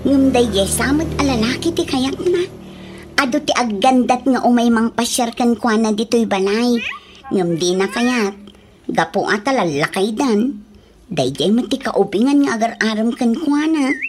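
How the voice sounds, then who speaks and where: A woman's voice: on the high side, expressive, normal speed, darkish tone, slight background noise, lightly acted. A woman with an Ilocano accent playing a young village daughter, gossipy and protective of her mother, in a comedic radio drama. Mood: confiding, defensive.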